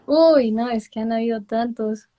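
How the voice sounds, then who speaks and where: A woman's voice: on the high side, a little slow, dark tone. A young woman with a Mexican accent, a guest telling stories in a casual interview. She laughs often and drops in English words. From Miami, with long stays in Mexico.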